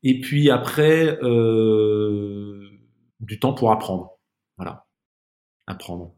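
A man's voice: lowish voice, steady tone, drawn-out words, dark tone. A man speaking as a podcast guest in a casual, reflective interview, searching for his words and often repeating them.

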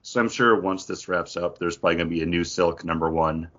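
Low-voiced man, slightly muffled recording. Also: a little fast, a bit bright. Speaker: podcast co-host. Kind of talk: casual comic book chat.

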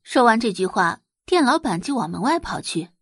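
Animated woman narrating, slightly husky. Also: on the high side, moderate pace, no room sound, studio quality. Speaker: audio drama narrator. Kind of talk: scripted, dramatic story narration.

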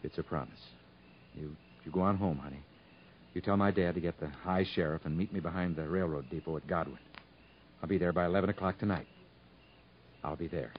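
Deep-voiced troubled fugitive played by an actor, old radio. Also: male voice, a little fast, pauses often, natural voice. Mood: subdued, resolute. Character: troubled male fugitive hiding in the woods, stubborn but tender. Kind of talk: 1950s radio drama acting. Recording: muffled, noisy 1950s radio broadcast recording.

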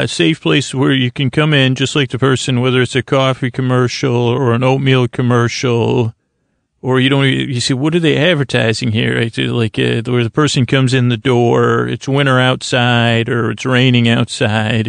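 A man hosting a bedtime podcast in a creaky, lulling voice, meandering and halting as he blathers soothingly. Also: mid-range voice, dry recording. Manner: meandering, halting, repeats himself. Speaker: host of a bedtime story podcast. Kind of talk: rambling bedtime monologue, soothing.

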